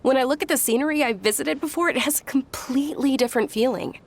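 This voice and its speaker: High-voiced, earnest young woman. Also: quick pace. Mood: reflective. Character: gentle, eager young woman, hopeful and sweet. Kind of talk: video game voice acting. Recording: faint ambient noise.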